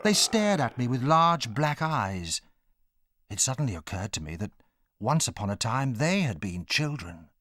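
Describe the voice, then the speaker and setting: A man's voice: medium pitch, sing-song, unhurried, a bit bright, slight background noise. A man acting as the narrator of a children's audio drama, recalling his own adventure with dramatic storytelling. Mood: absorbed, suspenseful.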